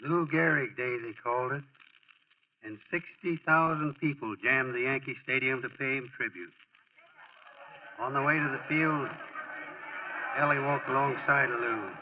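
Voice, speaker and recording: An actor playing a folksy, sorrowful American storyteller narrating a 1940s radio drama. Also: male voice, medium pitch, moderate pace, pauses often, slight background noise, close to an everyday voice. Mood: wistful. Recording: muffled old radio recording.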